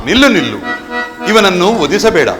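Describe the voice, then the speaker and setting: An actor playing a lofty divine being, proclaiming with authority in a mythological stage drama. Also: male voice, high voice, big pitch swings, normal speed. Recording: reverberant hall, faint music behind.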